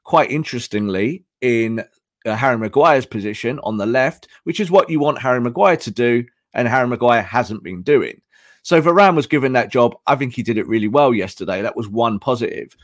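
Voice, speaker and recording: A hoarse man analyzing football tactics on a podcast, emphatic and repeating his points. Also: mid-range voice, lively intonation, brisk, no room sound, clean studio sound.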